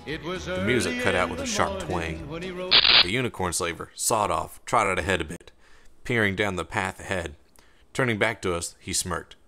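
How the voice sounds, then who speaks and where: A man's voice: low-pitched, lively intonation, moderate pace. A man reading scripted story narration as an audiobook narrator, with music behind him. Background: music under the narration.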